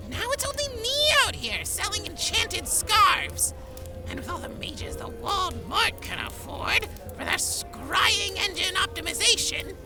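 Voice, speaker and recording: An exasperated female scarf seller in a comic fantasy sketch. The voice is extremely high and swoops wildly, with dramatic, drawn-out exclamations at a slow pace. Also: full character voice. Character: exasperated, excitable female market vendor of enchanted scarves. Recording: music behind the voice. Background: music.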